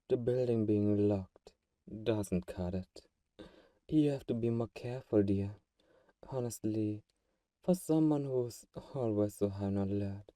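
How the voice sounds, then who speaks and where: A man playing a doting but secretly manipulative vampire friend in an ASMR roleplay, soft-spoken and fussing, with a mellow, dark tone. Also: lowish voice, dry recording, recorded from a distance.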